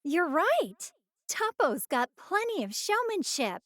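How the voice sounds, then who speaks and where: A woman's voice: very high-pitched, big pitch swings, takes it slowly, a bit bright, no room sound, studio quality, heavily acted. A woman voicing a sweet, upbeat young wife in scripted video game chatter, bubbly and admiring.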